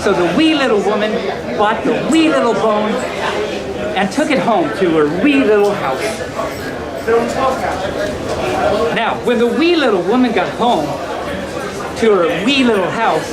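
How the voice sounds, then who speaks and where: Lively storyteller over loud crowd noise. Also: mid-range voice, unhurried, pauses often. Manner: playful, with asides and stumbles. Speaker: bedtime storyteller. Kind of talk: live children's story reading, told to an audience. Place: large indoor room at a convention.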